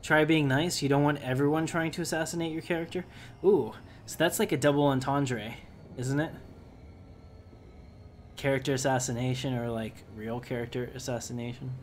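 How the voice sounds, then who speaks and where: A man's voice: medium pitch, fairly even intonation, normal speed, slight background noise. A man giving casual, rambling let's play commentary as he hosts a gaming video.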